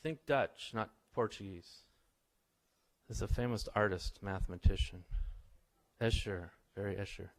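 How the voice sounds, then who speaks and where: A man's voice: on the low side, a little slow. A middle-aged man, a visiting professor, tells stories and quizzes a live audience in an informal lecture. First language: English. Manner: chatty, anecdotal, asks the audience questions. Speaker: visiting professor giving a talk.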